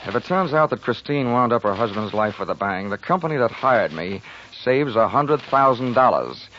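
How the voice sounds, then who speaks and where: A man's voice: medium pitch, lively intonation, normal speed, a bit bright, a little hiss or hum, natural voice. A man acting a wry, wisecracking insurance investigator in a 1940s radio drama, clipped and hard-boiled. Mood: probing, wry. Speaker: lead actor and narrator of a radio detective drama. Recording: muffled 1940s radio recording with music.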